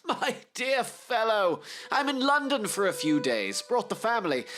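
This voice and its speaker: A man's voice: sits high, big pitch swings, measured pace, a bit bright, exaggerated voice. An actor playing a cheerful middle-aged Victorian father, effusive and fatherly, in an audio drama. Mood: jovial.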